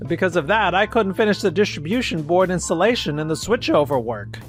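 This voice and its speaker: A man's voice: high voice, expressive, brisk, faint music behind. A man voicing an arrogant, careless male manager in a comic dub, blustering and dismissive. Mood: blustering, defensive. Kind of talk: scripted manga dub voice acting.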